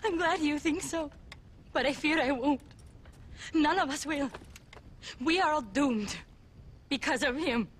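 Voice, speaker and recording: A woman's voice: sits high, a little slow, pauses often, fairly bright tone, slight background noise. A woman playing a mysterious young woman who is secretly an ancient goddess, speaking earnestly. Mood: fearful. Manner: earnest, urgent, evasive. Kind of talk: video game cutscene acting.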